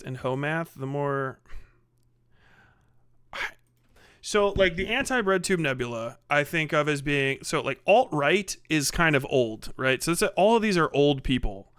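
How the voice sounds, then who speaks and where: A man's voice: on the high side, expressive, moderate pace, fairly bright tone, smooth, no echo, clean studio sound. A man streaming live, chatting casually and swearing often, muttering half to himself as he works on something. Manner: profane, muttering to himself while working. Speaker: livestreamer.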